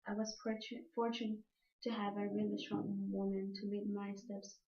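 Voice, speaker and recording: A woman's voice: medium pitch, flat delivery, normal speed, a little mellow. Young Salvadoran woman, echoey speech recording. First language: Spanish. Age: young adult. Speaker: student speaker. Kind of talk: prepared informative speech. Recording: echoey room, muffled dull sound.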